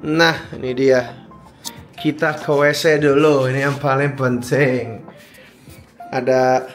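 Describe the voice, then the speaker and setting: A young man, chatting to a handheld camera as he eats, travels and shows off a hotel room. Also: on the high side. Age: young adult. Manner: chatty, talking to camera while walking. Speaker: vlogger. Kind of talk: casual travel vlog chat. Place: airport food court, car, small hotel room. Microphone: handheld camera at arm's length.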